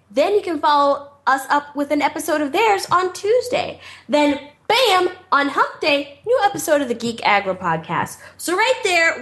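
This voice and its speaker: A woman with a high voice hosts a casual podcast. She talks in a chatty, excitable way and swears freely. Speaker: podcast co-host.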